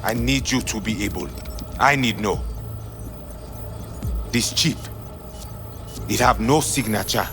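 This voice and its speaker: Deep-voiced stern Haitian gang enforcer. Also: male voice, takes it slowly, long pauses, over music. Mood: terse, suspicious. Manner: curt, clipped broken English. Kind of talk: video game voice acting.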